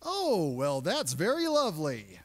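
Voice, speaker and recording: Upbeat puppet radio DJ, a guy played by a woman, very animated and unhurried, patient, showy comic host. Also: sits low, exaggerated voice. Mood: polite, flustered.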